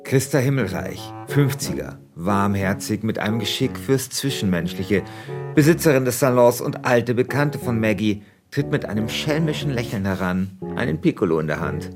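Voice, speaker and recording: A man's voice: medium pitch, lively intonation, moderate pace, music in the background. A man hosting a podcast, switching between reading a script aloud and casual chat. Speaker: podcast host.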